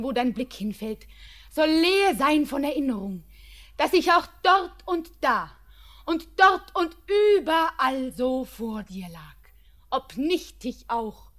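An actress playing an anxious, devoted young woman in love, pleading in fervent, breathy, poetic radio drama diction. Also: sits high, a bit bright, full character voice. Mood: devoted, confiding.

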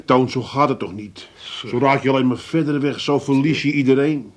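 An actor playing a level-headed, wry pub friend with an Amsterdam accent in a comic radio drama. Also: male voice, medium pitch, steady tone, normal speed, close to an everyday voice. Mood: coaxing. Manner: matter-of-fact, coaxing, dry. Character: calm, wry male pub regular, mediating friend.

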